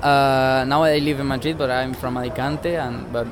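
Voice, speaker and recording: A man's voice: medium pitch, steady tone, normal speed. A man in his twenties from Spain, giving hesitant interview answers in simple English outdoors. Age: 20s. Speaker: interviewee, jazz pianist. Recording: crowd noise behind.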